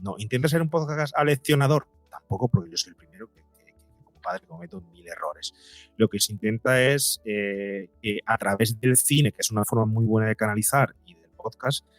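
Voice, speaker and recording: A man's voice: fairly low pitch, brisk, no echo, faint music behind. A middle-aged man with a Spain accent answering conversationally as a podcast guest, reflective and repeating phrases for emphasis.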